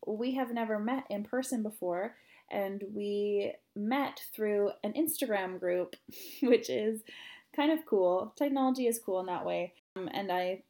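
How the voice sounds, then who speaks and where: A woman's voice: mid-range voice, a little slow, mellow. A woman hosting a podcast in a bubbly, giggly, upbeat way, chatting casually with her guest. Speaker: podcast host.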